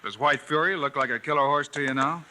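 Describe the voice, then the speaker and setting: An actor playing a calm, confident frontier lawman who talks in a steady, assured way, in 1950s radio drama acting on an old noisy radio recording. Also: medium pitch, fast.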